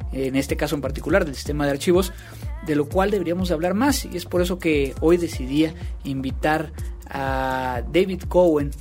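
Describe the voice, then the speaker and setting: A man's voice: fairly high pitch, darkish tone. A man, hosting a podcast and talking casually, with frequent fillers and restarts. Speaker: podcast host.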